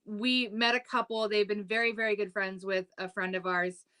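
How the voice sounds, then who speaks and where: Chatty bright-voiced woman in her thirties. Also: mid-range voice, little pitch movement, normal speed, clear, resonant tone. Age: 30s. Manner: chatty, storytelling with lots of "like" and "um". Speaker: podcast co-host. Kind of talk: casual chat.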